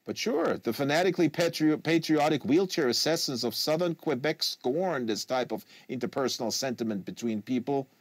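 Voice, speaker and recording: A man's voice: mid-range voice, lively intonation, moderate pace, few pauses, no echo. A man reading literary fiction aloud as a narrator, close to a studio microphone in a small treated room. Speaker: audiobook reader. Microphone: close studio microphone on desk.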